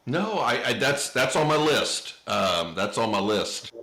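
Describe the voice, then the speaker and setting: A man from the United States hosting a casual interview, halting and repeating words as he goes. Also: medium pitch, sing-song, sharp tone, roomy sound. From United States, Indiana. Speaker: podcast host.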